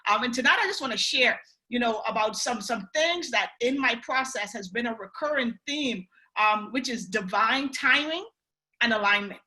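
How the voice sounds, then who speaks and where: A woman's voice: a little high, normal speed, sharp tone, rough recording. A woman in her thirties from the Bahamas with a Bahamian accent, telling her life story on a mentorship video call in an upbeat, chatty way. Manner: upbeat, chatty, lots of "you know" and "right". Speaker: guest speaker on a mentorship call. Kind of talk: motivational personal story.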